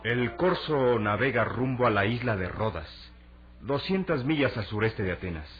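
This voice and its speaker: An actor playing a cautious, worried, dignified ambassador in a radio serial, formal and measured. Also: male voice, medium pitch, animated, faint music behind, lightly acted. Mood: informative. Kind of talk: Latin American radio serial acting. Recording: dull old radio recording, hum and hiss.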